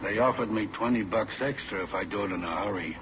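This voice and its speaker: Man playing low-voiced uneasy garage man. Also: brisk, lightly acted. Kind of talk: 1950s radio drama acting. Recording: dull, noisy old radio recording.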